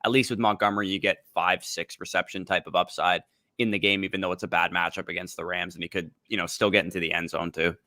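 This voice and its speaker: A man's voice: low-pitched, steady tone, fast, bright, forceful tone, no echo, studio quality. A young adult man co-hosting a casual fantasy football livestream, laid-back and joking. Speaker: co-host.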